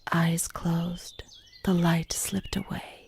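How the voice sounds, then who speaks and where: A woman playing a fragile, haunted young former asylum patient in a narrative game, delivering a slow, halting, confessional monologue in a breathy voice that often sinks to a whisper. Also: on the low side, flat delivery, a little mellow. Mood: wistful, intimate. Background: music.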